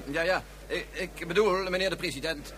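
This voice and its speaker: Guy doing a flustered stammering professor, high-ish voice, radio play, faint hiss. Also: moderate pace, a bit bright, natural voice, rough recording. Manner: hesitant, stammering. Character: awkward, stammering male scientist.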